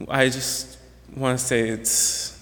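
A man's voice: medium pitch, little pitch movement, takes it slowly, a bit bright. Young male student speaker, earnest and reflective, mid-range voice, long hall reverb. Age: young adult. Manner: earnest, reflective, with some restarts. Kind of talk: prepared speech to an audience.

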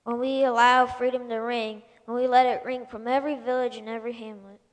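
A woman's voice: fairly high pitch, flat delivery, fairly quick. A woman reciting oratory as part of a staged group reading. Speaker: reader in a readers' theater presentation. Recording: echoing hall with long reverb.

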